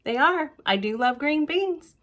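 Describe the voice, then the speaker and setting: A woman reading a children's picture book aloud with expressive, drawn-out words, whispered drops and chatty questions to young listeners. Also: high voice. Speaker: library storytime reader.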